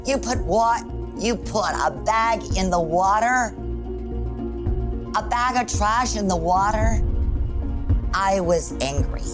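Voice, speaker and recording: An older American woman giving a plain personal recollection as a documentary interviewee. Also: on the low side. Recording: documentary clip with music underneath. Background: music.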